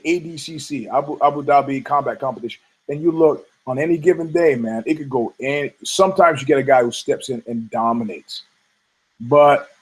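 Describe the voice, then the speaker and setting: Male podcast host, hoarse and husky, slightly high-pitched, loose and profane, rambling monologue. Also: low-quality recording. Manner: rambling, profane, frequent restarts.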